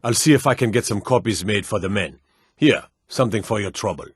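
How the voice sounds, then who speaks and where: Low-voiced, stern fantasy lord. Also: male voice, slightly rough, no room sound, clean studio sound. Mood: gruff, matter-of-fact. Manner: formal, measured, authoritative. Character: stern, dutiful male fantasy lord and soldier. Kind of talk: video game character dialogue.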